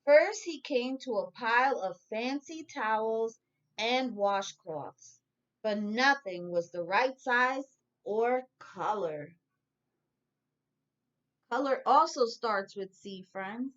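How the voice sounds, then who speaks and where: A woman teacher reading a storybook aloud to young children in a deliberate, instructive way. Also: on the high side, expressive, highs cut off.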